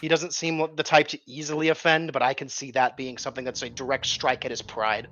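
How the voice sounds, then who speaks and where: Forceful, talkative man in a game discussion. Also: medium pitch, flat delivery, a little fast, highs cut off. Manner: talkative, argues points at length, mild swearing. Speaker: tabletop roleplaying game player. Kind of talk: casual strategising discussion at a tabletop game.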